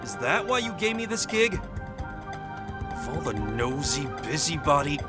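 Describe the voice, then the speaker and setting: An actor with an American accent playing a cocky, slangy, grumbling soldier in a video game cutscene. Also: male voice, a little high, very animated, slow, loud music behind the voice. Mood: annoyed, combative. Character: cocky, gruff young male soldier, slangy thrill-seeker.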